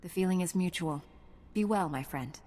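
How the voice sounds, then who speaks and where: A woman's voice: on the low side, moderate pace, warm tone, natural voice. Woman acting a composed Jedi knight, reverberant hall. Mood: calm. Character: composed, dutiful young female Jedi knight, firm and earnest. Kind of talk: scripted video game cutscene acting. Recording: long hall reverb, faint music.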